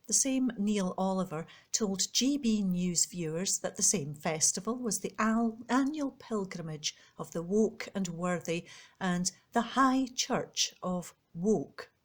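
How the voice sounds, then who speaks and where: A woman reading a newspaper opinion article aloud as a volunteer reader, recorded at home. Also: mid-range voice.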